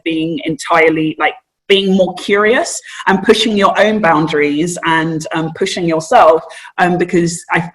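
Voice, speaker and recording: A woman panelist on a webinar, encouraging and a little rambling, with many pauses and "um"s. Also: on the low side, poor audio.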